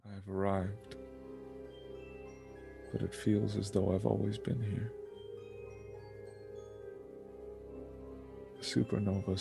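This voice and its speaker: A man acts out a godlike superhuman man, detached and melancholy. His voice is low and gravelly, with a very flat, measured delivery. Music plays clearly behind him in this online superhero drama. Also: full character voice. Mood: serene. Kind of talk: dramatic monologue in a fan-made online play.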